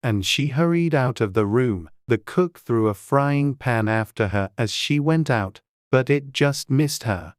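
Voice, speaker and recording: A man's voice: medium pitch, expressive, a little slow, hardly any pauses, no echo, studio quality. A man reading scripted storybook narration as an audiobook narrator.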